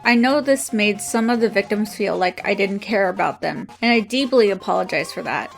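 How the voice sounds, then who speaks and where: Mid-pitched woman reading a prepared statement. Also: normal speed, vocal fry, faint music behind. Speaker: reader of a written statement. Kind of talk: reading a written apology statement aloud.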